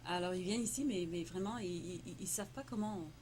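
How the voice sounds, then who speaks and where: A woman's voice: on the low side, fast talker, a little mellow. A woman whose first language is Tamil, who grew up in Morocco, answering interview questions in French with many fillers, restarts and frequent laughs. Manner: hesitant, many fillers and repeated words, laughs often. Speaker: interviewee, entrepreneur. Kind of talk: casual conversational interview. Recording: noisy background.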